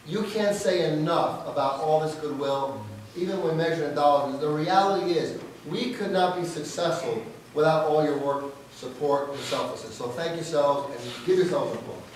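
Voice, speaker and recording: Hoarse male presenter, emphatic and proud, slightly high voice, booming room sound. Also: normal speed, few pauses, slight background noise. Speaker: organization leader presenting annual results. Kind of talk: annual meeting speech. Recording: very echoey hall, far from mic.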